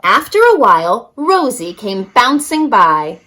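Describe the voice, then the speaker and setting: A woman's voice: fairly high pitch, sing-song, a little slow, bright, forceful tone. A woman reading a picture book aloud expressively for young children, as a story-time reader.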